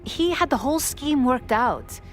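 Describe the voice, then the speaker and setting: A woman acting a dignified, guilt-ridden figure of authority in a video game, speaking in formal, measured, articulate phrases. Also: sits high, lively intonation, faint music behind. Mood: defensive, confessional.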